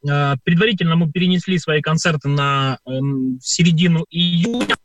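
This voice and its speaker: A man's voice: a little high, fairly even intonation, normal speed, dry recording, rough recording. A man from Ukraine, a performer, answering radio interview questions at length with many hesitant filler sounds. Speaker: performer and guest.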